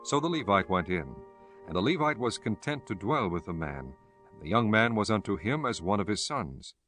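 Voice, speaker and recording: A man's voice: on the low side, sing-song, moderate pace, sharp tone, faint music behind. A man giving a scripted narration of scripture as narrator of an audio drama.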